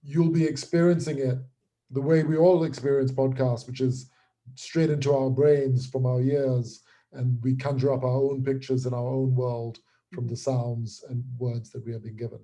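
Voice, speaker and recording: A man's voice: mid-range voice, little pitch movement, normal speed, mellow. A man explaining his creative work as a guest in an interview. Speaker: audio drama creator being interviewed.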